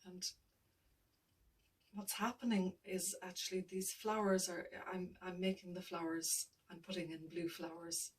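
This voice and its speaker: A woman with a lowish, flat voice talks through a painting lesson, half to herself, mumbling and letting sentences trail off. She sits a little back from the microphone, with faint room noise behind her. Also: a little slow, recorded from a distance. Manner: thinking aloud, mumbling, trailing off. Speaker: art tutor. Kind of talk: painting tutorial narration.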